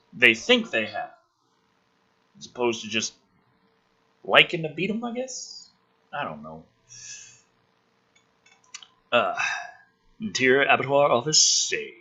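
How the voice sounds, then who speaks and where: A man reading a film script dramatically and breaking off for sarcastic, profane commentary, with a rough-edged voice. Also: medium pitch, sharp tone, dull audio.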